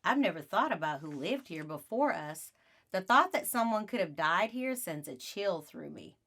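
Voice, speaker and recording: A woman narrating a children's book aloud in an expressive storytelling style. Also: on the low side, normal speed.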